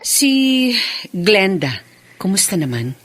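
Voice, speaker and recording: A woman's voice: mid-range voice, very animated, slow pace, fairly bright tone, no echo, heavily acted. An actress playing a troubled new wife and stepmother in a Filipino radio drama. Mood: uneasy.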